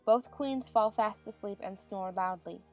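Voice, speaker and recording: The woman who reads stage directions in an audiobook play, mid-pitched over music. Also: a little slow, a little mellow. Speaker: narrator. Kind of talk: scripted narration. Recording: music behind the voice.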